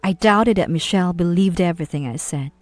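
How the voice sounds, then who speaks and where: A woman's voice: fairly low pitch, a little fast, dry recording, faint music behind. A woman reading scripted first-person story narration.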